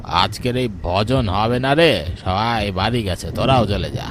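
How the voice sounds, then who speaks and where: A man's voice: on the low side, measured pace, close to an everyday voice. A man voicing a brusque, commanding village uncle in his sixties for a cartoon, with storm noise behind. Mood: stern, dismissive.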